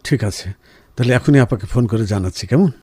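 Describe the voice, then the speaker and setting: A man playing a caring, reassuring husband in a radio drama, speaking in an earnest, measured way. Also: medium pitch, noisy background, natural voice. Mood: worried. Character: caring, reassuring husband, senior professional, firm but gentle. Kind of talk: Bengali radio drama acting.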